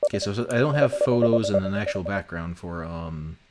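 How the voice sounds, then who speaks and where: A man's voice: low-pitched, fairly quick, heavy background noise. A man hosting a game development stream, chatting casually and swearing freely as he works. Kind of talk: casual live stream chat. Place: indoor voice chat on a development livestream.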